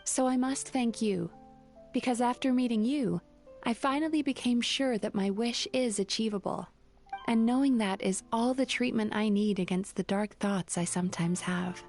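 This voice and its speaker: A woman playing a gentle, wistful young business owner in an anime game, soft-spoken and reflective, with music behind. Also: a little high, normal speed, lightly acted.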